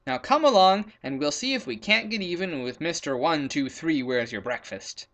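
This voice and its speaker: A man voicing a practical, bossy hunter in a children's storybook, brisk and instructive. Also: on the high side, lively intonation, a bit bright. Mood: pleased, brisk. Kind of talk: storybook character voice in a read-aloud.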